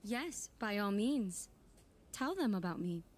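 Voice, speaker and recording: A woman's voice: mid-range voice, big pitch swings, takes it slowly, over music. A woman playing a proud, impatient warlock, narrating in the first person in a fan audio drama. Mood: sinister, pointed. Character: frustrated, headstrong young female warlock, proud and impatient.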